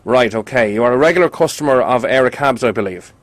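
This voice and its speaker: A man with an Irish accent playing an officious, deadpan taxi association official on a prank call, in hesitant, mock-formal language. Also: medium pitch, fast talker, no echo, close to an everyday voice. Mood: officious, grave. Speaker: radio prank caller.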